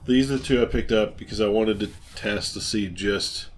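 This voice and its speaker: Low-voiced man in halting, enthusiastic hobby chat. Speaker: host of a hobby radio show. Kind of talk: casual explainer chat on old gear. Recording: light background noise.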